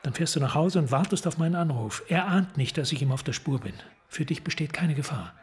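A driven, calculating police detective in a radio play, played by a man. His voice sits fairly high for a man, and he gives terse, commanding instructions. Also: expressive, brisk, close to an everyday voice. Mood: urgent, controlled. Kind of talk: radio drama acting.

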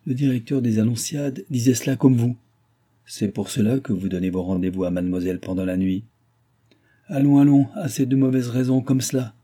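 A man reading aloud and voicing a jealous, sharp-tongued young maid who mocks and scolds. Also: mid-range voice, voice pushed up, close to an everyday voice. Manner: mocking, scornful.

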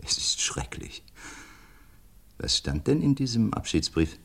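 An actor playing a sly, inquisitive middle-aged doctor in a radio crime drama, suave, ironic and probing. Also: male voice, lowish voice, sing-song, unhurried, gravelly, a bit bright, husky, in a whisper, exaggerated voice. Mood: musing, sly. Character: sly, needling, inquisitive doctor who plays sleuth.

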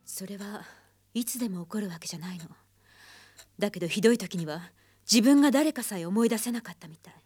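A woman's voice: mid-range voice, darkish tone, husky, lightly acted. Woman playing a grave, composed female psychiatrist. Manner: measured, grave, deliberate. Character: composed, haunted female psychiatrist telling her dark past. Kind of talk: Japanese radio drama acting.

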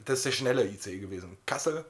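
A man's voice: mid-range voice, expressive, rapid-fire, fairly bright tone. A man co-hosting a podcast in casual, rambling banter with a wry touch. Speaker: podcast host.

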